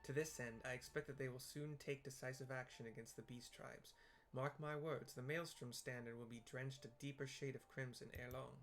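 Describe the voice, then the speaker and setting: A man's voice: medium pitch, steady tone, brisk, hardly any pauses, a bit bright. A man narrating a game playthrough, reading story dialogue aloud and describing the scenes. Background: game music.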